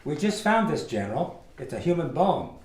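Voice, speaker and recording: A man playing a brisk, blunt air force officer in a radio drama. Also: fairly high pitch, big pitch swings, a little mellow, echoey room, low-quality recording. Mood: urgent, blunt.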